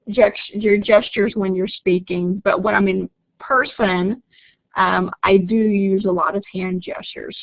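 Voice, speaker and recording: A woman's voice: medium pitch, creaky, no echo, narrow, tinny audio. A woman with an Oklahoma accent giving a chatty live online lecture as a college instructor, reading out and answering student comments. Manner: chatty, reads out student comments, laughs easily.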